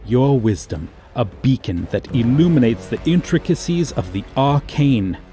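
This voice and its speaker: A man's voice: medium pitch, big pitch swings, unhurried, dark tone, faint music behind, voice pushed up. A man voicing a haughty, courting dragon with pompous, archaic diction in an ASMR fantasy romance roleplay. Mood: grand, reverent. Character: haughty, archaic-speaking male dragon, tenderly courting a human.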